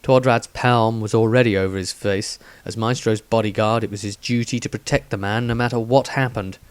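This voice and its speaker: Low-voiced man narrating fantasy. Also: steady tone, a little fast, smooth. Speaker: author narrator. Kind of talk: scripted fantasy story narration.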